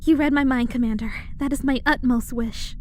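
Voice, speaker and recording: Young royal, acted by a woman: composed, earnest alien princess, high-pitched, formal and poised, audio drama. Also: steady tone, normal speed, lightly acted. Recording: faint background noise.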